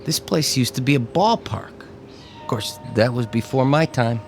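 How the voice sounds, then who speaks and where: A man's voice: medium pitch, lively intonation, normal speed, a little hiss or hum, close to an everyday voice. An actor playing an easygoing worker in his twenties, slangy and teasing, in a radio drama. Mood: casual, curious. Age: 20s. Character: easygoing twenty-two-year-old male worker, cheeky and slangy.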